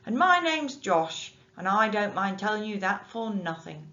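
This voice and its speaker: A woman's voice: mid-range voice, big pitch swings, a little slow, pushed and bright, pitched above the performer's own voice. A woman voicing a kindly, chatty man with a strange accent, in a dull lo-fi recording. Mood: friendly.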